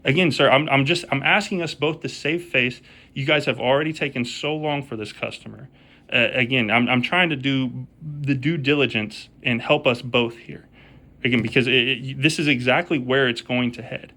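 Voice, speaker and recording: Male contractor, phone negotiation. Forward, bright tone, persistent and repetitive delivery. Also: medium pitch, normal speed. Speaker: roofing contractor. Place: phone call.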